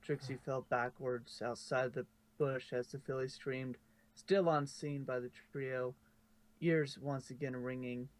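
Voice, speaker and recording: A man's voice: medium pitch, level tone, measured pace. A man narrating a fan-written story aloud in a storybook style. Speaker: narrator of a fan fiction reading. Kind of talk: storybook narration with casual asides.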